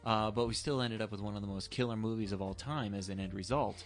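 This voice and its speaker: A man's voice: on the low side, fast talker, soft background music. A man chatting casually about films as a podcast co-host, in a rambling way peppered with filler phrases. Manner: rambling, hedging with "you know". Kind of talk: casual film discussion chat.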